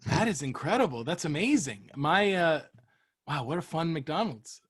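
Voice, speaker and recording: A man in his thirties hosts a podcast and tells rambling childhood stories. He laughs often, and his voice sits a little higher than most men's. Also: animated, normal speed, breathy edge. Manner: chatty storyteller, laughs often. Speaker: podcast host. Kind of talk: casual chat.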